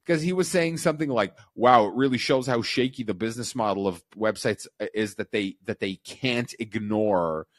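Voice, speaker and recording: A man's voice: on the low side, normal speed, few pauses, dry recording, studio quality. A man hosting a casual, opinionated podcast chat, speaking emphatically with swearing and repeating himself for effect. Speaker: podcast host.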